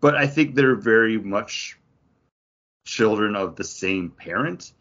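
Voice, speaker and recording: A man with a low-pitched, husky voice talks as a podcast co-host. His delivery is casual, enthusiastic review chat that dips into acted-out quotes. The audio is a little dull and lo-fi. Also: lively intonation, slow, fairly bright tone.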